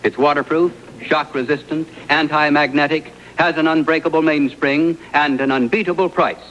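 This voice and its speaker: A man delivering a 1960s television commercial as a pitchman. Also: steady tone, moderate pace, fairly bright tone. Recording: dull, lo-fi vintage broadcast.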